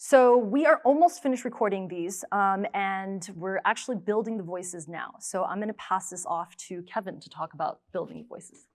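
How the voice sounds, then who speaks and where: A woman's voice: mid-range voice, normal speed, hardly any pauses. A woman in her thirties giving a prepared conference presentation on a lapel mic. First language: English. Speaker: creative producer, presenter. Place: indoor studio stage. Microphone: clip-on lapel mic.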